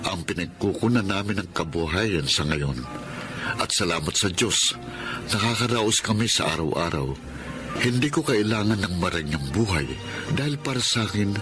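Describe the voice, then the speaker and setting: An actor playing a devoted, emotional husband in a radio drama, earnest and heartfelt in a melodramatic way. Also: male voice, low-pitched. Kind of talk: Filipino radio drama acting and first-person narration.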